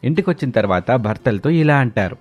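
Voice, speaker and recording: A man narrating a family story in an even storytelling tone, with soft music behind. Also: medium pitch, a little fast, lo-fi sound.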